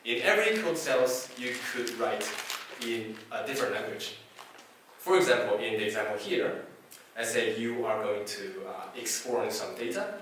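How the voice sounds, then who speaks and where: A man with a fairly low voice and Chinese as his first language presents a technical talk, pausing often and restarting his phrases. He is heard from a distance in a very echoey room. A faint hiss sits under the recording. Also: fairly bright tone. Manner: halting, many fillers and restarts. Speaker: conference speaker.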